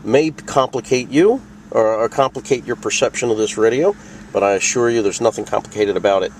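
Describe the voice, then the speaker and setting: A man's voice: on the low side, fairly quick, darkish tone, far from the mic, slight background noise. A man hosting a casual product tutorial, explaining things plainly and step by step. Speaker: video host demonstrating a radio.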